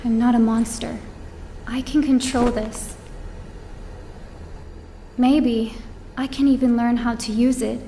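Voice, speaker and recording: A woman's voice: fairly high pitch, little pitch movement, slow pace, long pauses, warm tone. An actress playing a determined, protective woman with a dangerous power inside her, speaking earnestly in a video game cutscene. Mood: earnest, reassuring. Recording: long reverb with faint background noise.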